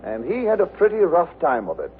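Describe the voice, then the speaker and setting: An actor playing the male storyteller of an old radio sitcom, narrating in a measured, scripted way. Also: fairly high pitch, wildly swooping pitch. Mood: storytelling. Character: male storyteller recounting a comic trial. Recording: tinny old radio, faint noise.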